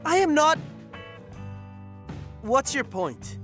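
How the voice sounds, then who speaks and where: An actress playing a flustered, prickly crewmate hiding a crush in an audio drama. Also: female voice, high voice, sing-song, very slow, fairly bright tone, faint music behind, heavily acted. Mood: defensive.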